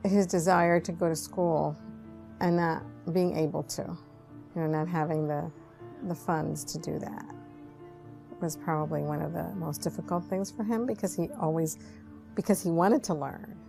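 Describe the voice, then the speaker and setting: A woman talks reflectively in a documentary interview. Her voice is low and full of creak, with light music underneath. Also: fairly even intonation, moderate pace. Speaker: sponsor interviewed about a young man. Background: soft music.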